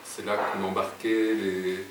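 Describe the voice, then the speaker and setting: A man's voice: deep voice, level tone, measured pace. A middle-aged man, telling stories as an interview guest, hesitant, with many fillers and restarts. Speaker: guest writer. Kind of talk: casual interview storytelling. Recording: echoey room, distant mic, background noise.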